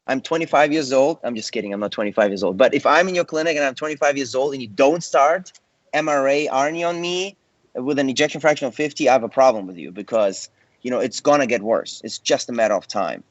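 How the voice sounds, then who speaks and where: A man's voice: medium pitch, quick pace, dry recording. A male cardiologist on a panel, speaking in a confident, conversational way with joking asides. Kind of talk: panel discussion. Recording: muffled dry video call audio.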